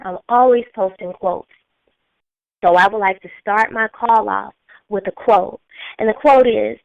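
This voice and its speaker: A woman's voice: medium pitch, dry recording. A woman hosting a motivational call, talking earnestly in a slightly scratchy voice from a sinus infection. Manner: earnest, coaching, repeats key phrases. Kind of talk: motivational talk on a phone call. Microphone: phone conference line. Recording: dry tinny phone line.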